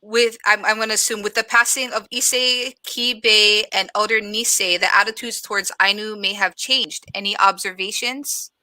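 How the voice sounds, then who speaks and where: A woman's voice: medium pitch, steady tone, moderate pace, few pauses, bright, forceful tone, dry recording, poor audio. A woman speaking earnestly and personally as a moderator in a reflective panel discussion.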